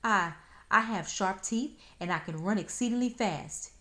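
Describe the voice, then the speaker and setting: A woman's voice: mid-range voice, a little slow, bright, forceful tone, pitched above the performer's own voice. A woman voicing a proud, pompous male storybook fox who speaks formally and stammers and pleads when rattled. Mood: pleading.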